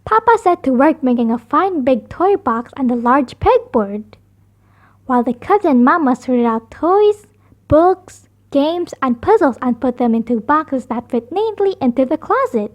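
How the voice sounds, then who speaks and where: A woman's voice: high-pitched, sing-song, measured pace, highs cut off. A woman narrating a children's picture book as a read-aloud. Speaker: storybook narrator.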